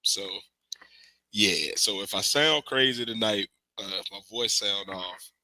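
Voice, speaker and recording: A man with a cold hosts a podcast in loose, animated, slangy chat. His tone is strikingly bright and cutting. The sound is close and dry, with no room around it. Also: fairly low pitch, a little slow, dry recording, low-quality recording. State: has a cold. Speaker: podcast host. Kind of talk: casual chat. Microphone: remote stream mic.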